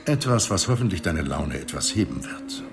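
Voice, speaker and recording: An actor playing a calm, condescending space station reanimator in a radio play, measured and wryly superior. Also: male voice, low voice. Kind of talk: science fiction radio play dialogue.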